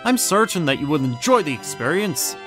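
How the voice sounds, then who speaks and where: A man playing a courteous, earnest 1920s gentleman scientist in an audio drama, speaking politely, formally and precisely. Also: fairly high pitch, sing-song, a little fast, soft background music. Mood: polite, assured.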